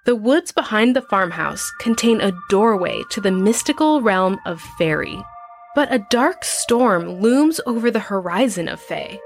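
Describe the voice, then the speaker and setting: A woman podcast host reading scripted promotional narration in a clear announcing style. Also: mid-range voice, lively intonation, unhurried, soft background music.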